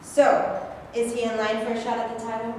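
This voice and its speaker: A woman's voice: on the high side, monotone, moderate pace, a little hiss or hum, natural voice. A woman playing a blunt skeptical editor. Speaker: actor in a staged reading. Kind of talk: staged reading. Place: large rehearsal studio. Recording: echoey studio.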